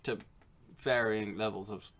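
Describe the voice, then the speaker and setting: A man's voice: fairly low pitch, fairly even intonation, a little slow, background noise. A man co-hosting a podcast, chatting casually in a joking, sardonic way with some swearing. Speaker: podcast co-host. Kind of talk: casual chat.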